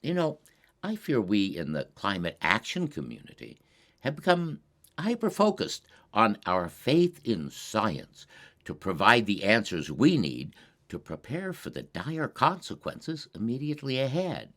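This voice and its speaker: A man presenting his own program in a deliberate, formal, bookish way, with emphatic pauses in a measured monologue. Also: lowish voice, wildly swooping pitch, a bit bright.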